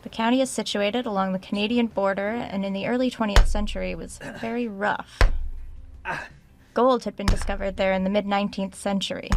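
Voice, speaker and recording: A woman's voice: mid-range voice, noisy background, close to an everyday voice. An actress playing an uneasy woman researcher who records an audio diary in a haunted house for a horror drama. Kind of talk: horror audio drama, diary-style narration and reading aloud.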